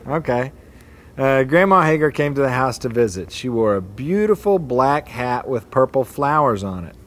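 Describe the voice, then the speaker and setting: A man casually reading short family stories aloud to relatives in a hotel atrium, breaking off to chuckle and comment. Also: medium pitch.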